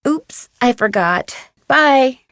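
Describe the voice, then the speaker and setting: An actress playing a plucky young amateur detective in a video game, polite, upbeat and inquisitive. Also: female voice, high-pitched, expressive, takes it slowly, a bit bright, dry recording, studio quality, exaggerated voice. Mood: cheerful, helpful. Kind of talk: video game character acting.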